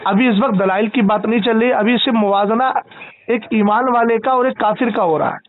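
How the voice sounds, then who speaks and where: A man's voice: high voice, a little fast. A man debating religion over a phone call, insistent, questioning and often cutting in. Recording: tinny, band-limited phone call recording.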